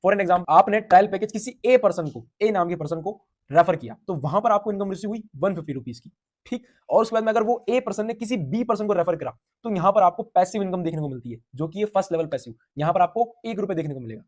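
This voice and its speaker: A man giving an energetic sales pitch for a referral income scheme, piling on hyped repetition. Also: fast.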